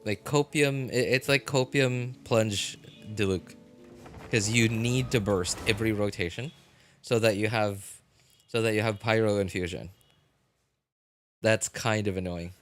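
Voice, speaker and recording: A man live-streaming a game, chatting casually with viewers and answering chat in a relaxed, chatty way. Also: on the low side, measured pace, long pauses, faint music behind. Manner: frequent fillers and restarts, laughs easily.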